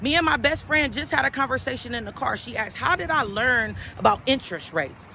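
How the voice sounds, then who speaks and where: A woman vlogging casually and directly to her viewers, animated and slangy, swearing freely in a loud voice, recorded outdoors without a microphone. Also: fairly high pitch, a little fast, creaky, slight background noise. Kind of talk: casual motivational vlog. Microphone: camera without microphone.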